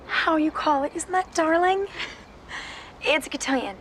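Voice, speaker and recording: A woman's voice: sits high, animated, normal speed, a bit bright, slight background noise, poor audio. An actress playing a chirpy, gushing rich girl in a film clip.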